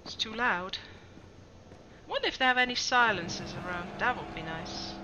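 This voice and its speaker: A woman's voice: medium pitch, lively intonation, normal speed, background noise. A woman giving casual gameplay commentary, musing and thinking aloud as she plays. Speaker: gamer commentator. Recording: loud game music under voice.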